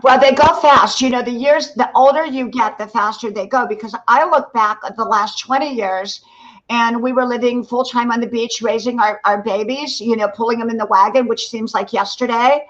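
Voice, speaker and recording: A woman's voice: on the high side, normal speed, highs cut off. A woman in her sixties hosting a motivational live stream, talking in a loose, rambling way with lots of "you know". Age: 60s. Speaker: livestream host. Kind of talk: casual motivational chat.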